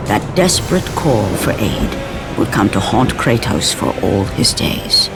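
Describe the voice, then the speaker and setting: A man's voice: on the low side, big pitch swings, a little slow, vocal fry, heavily acted. A man playing the grave, portentous storyteller of a mythic tragedy, narrating dramatically for a video game. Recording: loud music behind. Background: loud cinematic music.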